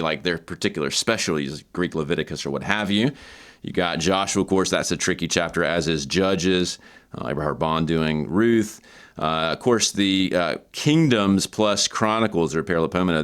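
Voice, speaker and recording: A man's voice: deep voice, a bit bright, clean tone. A man hosting an informal, explanatory walkthrough of an academic book, conversational yet scholarly, repeating words for emphasis. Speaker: host and book reviewer.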